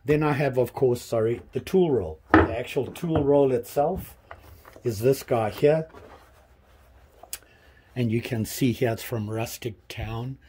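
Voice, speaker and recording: A man in his fifties casually showing his tools in a small workshop, talking in a relaxed, rambling way with many pauses and restarts. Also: medium pitch, noisy background. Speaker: hobbyist channel host. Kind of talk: casual show-and-tell vlog. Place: small workshop with pegboard tool wall, indoors.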